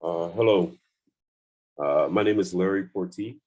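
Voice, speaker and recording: Very deep, husky older man. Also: darkish tone. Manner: halting, many fillers. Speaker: alumnus speaker. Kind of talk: virtual panel introduction. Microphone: video call.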